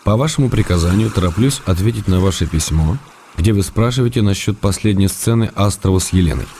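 A man playing an affectionate, gently ironic writer who reads his letters aloud in a radio drama. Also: low-pitched, slight background noise. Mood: ironic, tender. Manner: tender, gently ironic, unhurried. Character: affectionate, teasing male writer writing letters. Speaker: reader of letters in a radio play.

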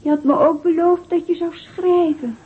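An actress playing a young village woman who gently nags her sweetheart in a radio play. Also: very high-pitched, moderate pace. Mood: coaxing. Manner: gently nagging. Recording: tinny phone-like sound.

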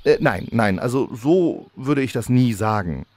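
A man plays an official agent in a comic audio drama. His mid-pitched voice is matter-of-fact and pedantic, explaining with small hesitations. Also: expressive, measured pace, darkish tone, no echo. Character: smooth-talking, pedantic male memory-wiping agent. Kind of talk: parody audio drama acting.

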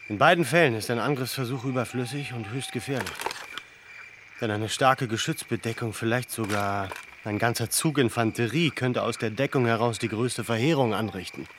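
A man voicing a resolute defense commander who rallies his fighters and then overrules his officers with firm authority. The voice sits at an ordinary middle pitch. A steady wash of background noise runs beneath the recording. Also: normal speed, lightly acted. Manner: commanding, rallying. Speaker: military leader.

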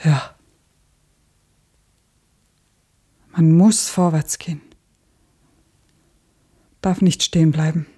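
A wounded, insistent woman revolutionary in a radio play. Her voice is dark, low and very breathy. She speaks in intense, halting phrases. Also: steady tone, full character voice. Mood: resolute, sorrowful. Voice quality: husky, breathy.

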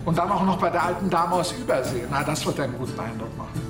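Echoey, music behind, actor as fussy flustered tour guide, high male voice, fretting, radio play. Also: animated, quick pace, lightly acted. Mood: agitated, fretting. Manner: fretting, exasperated. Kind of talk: German radio drama acting.

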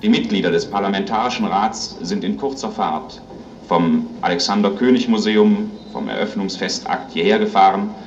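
A man's voice: fairly low pitch, normal speed, darkish tone, recorded from a distance, natural voice. A man giving a live radio report in a 1940s style, describing a formal scene. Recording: muffled, noisy, echoey archival-style radio recording.

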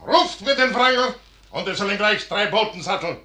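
An actor playing a proud, brooding army commander in a 1950s German radio drama, declaiming gravely and deliberately with a gravelly voice. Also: male voice, high voice, expressive, pushed and bright. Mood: resolute, solemn. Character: proud, brooding male army commander torn before a fateful decision.

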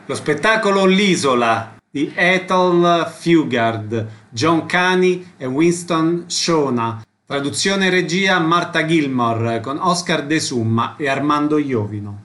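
A man's voice: a little high, animated, slow pace, a bit bright. A man hosting a radio arts programme, announcing and asking questions in a concise, announcer-like way. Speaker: radio host, interviewer. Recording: faint background noise.

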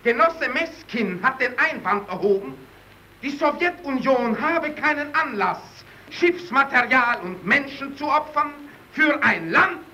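A man's voice: very high-pitched, sharp tone, exaggerated voice. A male actor playing a stern Soviet meeting chairman in a vintage radio play, speaking in formal, official oratory. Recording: faintly noisy old radio recording.

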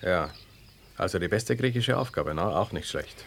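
Jealous husband, Austrian, ironic and probing, 1970s radio drama. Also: male voice, low-pitched, expressive, moderate pace, gravelly, fairly bright tone, a little hiss or hum, heavily acted. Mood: casual. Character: jealous husband, sardonic, smooth-talking, needling his wife.

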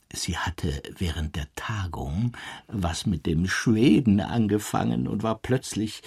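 A slightly husky male academic in a radio play. His pitch swings widely as he digresses like a professor, pedantic and animated. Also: full character voice. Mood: gossipy, amused. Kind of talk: German radio drama, conversational storytelling.

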